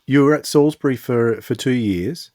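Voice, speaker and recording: A man hosts a conversational interview. His voice sits at an average male pitch. The sound is dry, with very little room around it. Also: moderate pace, darkish tone, no room sound. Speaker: podcast interviewer.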